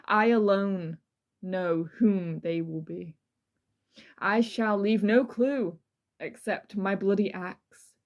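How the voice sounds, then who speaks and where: A boastful demon from hell, as put on by a woman. The delivery is slow and grandly theatrical, like a dramatic reading of a villain's letter. It is menacing and haughty, at a medium pitch. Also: dull audio, lightly acted. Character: boastful demonic male spirit, a murderous Axeman from hell.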